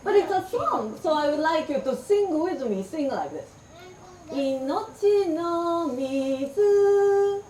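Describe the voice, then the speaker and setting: Give me a lively, high-voiced storyteller woman, recorded from a distance in an echoey room. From Japan. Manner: lively, slow and clear, asks the audience to repeat words. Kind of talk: interactive storytelling for children. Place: room with a live child audience. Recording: roomy, distant sound with faint background noise.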